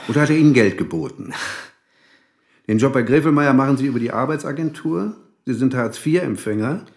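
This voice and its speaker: An actor playing a gruff, middle-aged police inspector in a radio crime drama, wry, brusque and colloquial. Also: male voice, mid-range voice, lively intonation, fairly quick, a little mellow, lightly acted. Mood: pressing, brusque. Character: gruff, sardonic male police chief inspector. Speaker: police detective.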